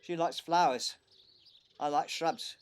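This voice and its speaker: An actor playing a reticent, bashful young grandson in an audio drama, giving terse, evasive answers. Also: male voice, a little high, slow pace, clear, resonant tone. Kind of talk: naturalistic audio drama acting.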